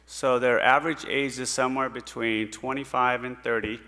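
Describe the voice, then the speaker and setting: A middle-aged man from Puerto Rico giving an earnest missionary report to a church congregation, pausing often with fillers. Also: mid-range voice, fairly even intonation, pushed and bright. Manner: earnest, storytelling, pauses with fillers. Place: church sanctuary stage. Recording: hall reverb in a church.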